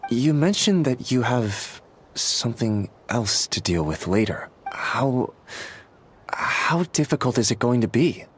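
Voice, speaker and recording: A man's voice: medium pitch, big pitch swings, slow pace, a little hiss or hum. An actor voicing an earnest, protective young man in a game, who talks bluntly and grows exasperated. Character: earnest, anxious young male master, protective. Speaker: game character.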